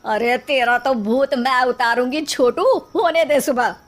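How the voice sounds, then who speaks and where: A woman's voice: high voice, measured pace, a little hiss or hum. A woman voicing a worried, scolding middle-aged mother in a cartoon story. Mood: alarmed, scolding. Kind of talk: Hindi cartoon story dialogue.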